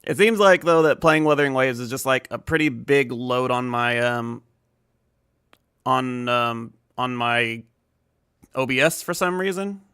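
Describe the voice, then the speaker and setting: A man's voice: mid-range voice, unhurried, fairly bright tone, smooth, no echo, clean studio sound. A man from the United States live-streaming video games, chatting casually in a rambling, informal way. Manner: rambling, informal, mild swearing.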